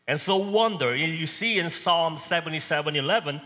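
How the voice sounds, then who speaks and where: A man from Korea with a Korean accent, preaching a sermon and swinging between loud and soft emphasis. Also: a little high, normal speed, big-room sound.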